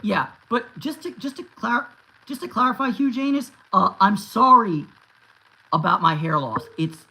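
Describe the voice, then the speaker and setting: A man's voice: high voice, expressive, unhurried, gravelly, pushed and bright, low-quality recording. A man in his thirties chatting casually on a livestream and answering viewers, rambling with many restarts and fillers. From United States. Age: 30s. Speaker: YouTube host answering live chat.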